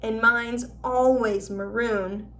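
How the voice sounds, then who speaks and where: A woman reading a storybook and voicing an excitable, blunt young schoolboy with an expressive, exclaiming manner. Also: on the high side, takes it slowly, far from the mic, slight background noise. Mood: grumbling.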